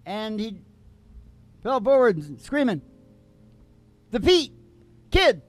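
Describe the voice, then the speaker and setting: High-pitched, rambling man bantering. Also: big pitch swings, no echo, faint music behind. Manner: rambling, halting, irreverent. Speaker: radio show host and singing DJ. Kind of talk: casual comic banter.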